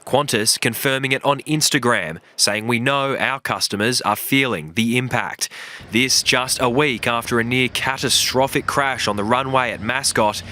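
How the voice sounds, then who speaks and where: A bright, forceful Australian man voices a scripted news report. His pitch sits in the middle range for a man. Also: moderate pace, a little hiss or hum.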